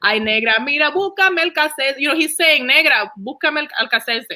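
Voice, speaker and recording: Bright woman moderating a panel. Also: high-pitched, expressive, fairly quick. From Dominican Republic. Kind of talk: casual panel hosting and personal storytelling.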